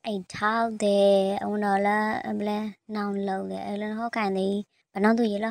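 Woman, medium pitch, little variation, hesitant phrasing, news soundbite. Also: little pitch movement, normal speed, vocal fry, no room sound.

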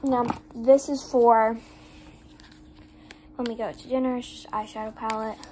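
A woman's voice: fairly high pitch, little pitch movement, moderate pace, warm tone. A young girl vlogging from her bedroom, chatting in a rambling way full of "like" fillers while filming on a phone camera. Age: 11, child. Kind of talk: casual vlog chat. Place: bedroom, indoors. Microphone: phone camera on desk. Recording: noisy room ambience.

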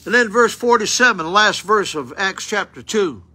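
Loud folksy older preacher, drawl. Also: male voice, sits high, expressive, moderate pace, breathy edge. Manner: folksy, rhetorical questions, loud unamplified voice. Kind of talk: informal sermon with Bible reading. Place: small church room, indoors. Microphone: phone camera close up.

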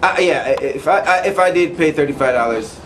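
A man's voice: on the high side, brisk, fairly bright tone. A tipsy man chatting casually with friends in a small room. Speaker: interviewee. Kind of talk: casual chat among friends. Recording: noisy handheld camera audio.

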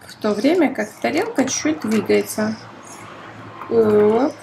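A woman's voice: fairly high pitch, lively intonation, a little slow, gravelly, mellow, slight background noise, low-quality recording. A woman chatting playfully to a child as she demonstrates a toy, full of exclamations, oohs and gasps. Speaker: toy review host, mother playing with her child.

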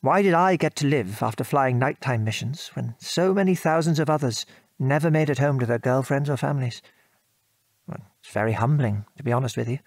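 A man's voice: medium pitch, brisk, no echo, close to an everyday voice. An actor playing a modest, polite bomber airman in a radio drama, earnest and understated. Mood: reflective, humble.

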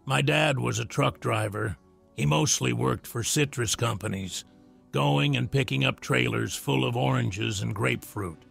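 A man reading out a story in measured narration, with a gravelly, husky voice. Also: medium pitch, fairly bright tone, soft background music. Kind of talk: scripted narration of a reader story.

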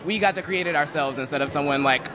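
A man's voice: fairly high pitch, fast, crowd noise. A man in his twenties from a boy band, answering interview questions in an eager, chatty way into a handheld mic. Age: 20s. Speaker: band member. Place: indoor event venue backstage.

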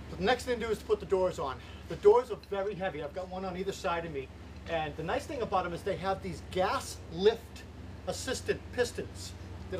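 A man in his forties talks through a carpentry job in a plain, informal, step-by-step way. His voice sits a little higher than most men's. He is recorded outdoors, with clear ambient noise behind his words. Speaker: carpenter hosting a home improvement series. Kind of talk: hands-on how-to explanation, informal. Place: outdoors in a house yard. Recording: noisy outdoor camera audio with ambient sound.

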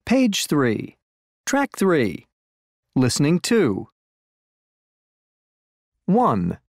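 Slow, low-voiced woman announcer. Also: wildly swooping pitch, darkish tone, no echo, clean studio sound. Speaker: announcer of exercise tracks. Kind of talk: scripted announcements.

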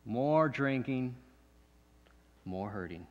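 An actor playing a bitter, distrustful man who keeps himself restrained, talking in halting bursts that repeat and break off, with pointed questions at the listener. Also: medium pitch, lively intonation, highs cut off. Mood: grieving, hurt. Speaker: actor in a monologue. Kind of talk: dramatic stage monologue. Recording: long echoey hall reverb.

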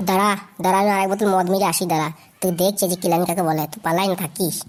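A woman's voice: fairly low pitch, steady tone, racing, over music, close to an everyday voice. A woman voicing a crude, blustering village husband in a comedy cartoon. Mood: threatening. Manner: blustering, crude, wheedling turning abusive. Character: hot-tempered, crude, boozing Bengali village husband.

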